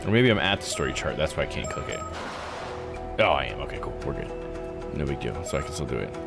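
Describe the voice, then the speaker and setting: A man's voice: a bass voice, big pitch swings, fast talker. A man streaming a visual novel, thinking aloud in a laid-back, jokey way as he plays. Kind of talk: casual game commentary with read-aloud text. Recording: voice over game music. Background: video game music.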